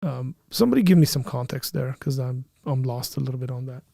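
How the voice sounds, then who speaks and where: A man co-hosting a casual livestream, reading viewer comments aloud with playful banter, his voice a little raspy. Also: mid-range voice, fast, mellow, no echo, studio quality. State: slightly raspy from caroling. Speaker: livestream co-host reading chat.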